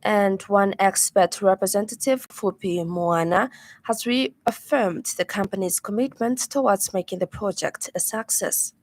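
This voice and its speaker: A woman's voice: mid-range voice, no room sound. Woman reading a radio news bulletin. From Zambia. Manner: measured formal delivery. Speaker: news anchor. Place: radio studio.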